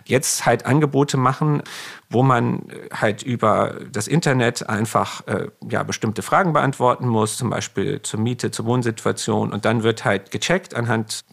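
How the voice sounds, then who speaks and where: A man's voice: mid-range voice, normal speed, gravelly. A man being interviewed as a guest, explaining legal topics at length while thinking aloud with fillers.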